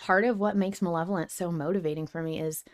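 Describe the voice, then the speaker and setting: A woman's voice: lowish voice, steady tone, fast. A woman hosting a podcast, talking casually and earnestly as a fan, open about her feelings. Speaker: podcast host.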